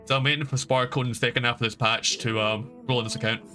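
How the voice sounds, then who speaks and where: A man's voice: mid-range voice, fairly even intonation, quick pace, sharp tone. A man giving casual livestream game commentary in a rambling, informal, emphatic way. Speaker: game streamer. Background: game music.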